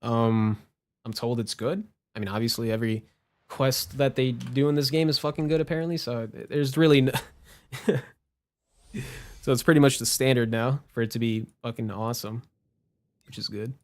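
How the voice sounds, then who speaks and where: A man's voice: medium pitch, fairly even intonation, moderate pace. A man doing casual gaming stream commentary, informal and prone to swearing, with game music behind him. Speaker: livestreamer.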